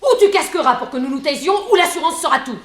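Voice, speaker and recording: Cool, scheming young mistress. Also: female voice, high-pitched, animated, fast, fairly bright tone. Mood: threatening, defiant. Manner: mocking, composed, cutting. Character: cool, scheming young mistress blackmailing her older lover. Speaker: actor. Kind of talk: 1960s French radio drama acting.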